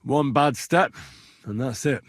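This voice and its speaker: A man's voice: medium pitch, slow, no echo, clean studio sound. An actor playing an earnest, courteous young medieval messenger and man-at-arms, speaking plainly and politely in video game dialogue. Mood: concerned, practical. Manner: polite, plain-spoken, deferential to betters.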